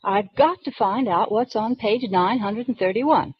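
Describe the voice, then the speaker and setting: An actress playing a prim, reserved librarian who speaks in a precise, proper way, in 1940s radio acting. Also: female voice, medium pitch, a little slow, slightly rough. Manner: precise, proper, rule-bound speech. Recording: dull dry 1940s radio broadcast recording.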